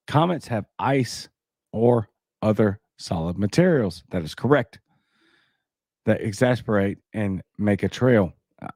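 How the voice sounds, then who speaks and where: A man's voice: fairly low pitch, a little mellow, no room sound, studio quality. Skeptical Cajun man on a livestream. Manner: skeptical, rambling, scoffing asides. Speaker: livestream host. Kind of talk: casual livestream, reading news and viewer comments.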